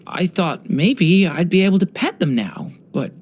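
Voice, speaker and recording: An actor voicing an earnest, curious young boy dragon in human form for a video game, speaking politely and softly with some hesitance. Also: mid-range voice, big pitch swings, unhurried, breathy edge, exaggerated voice. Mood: flustered, wistful. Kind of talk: scripted game character voice lines.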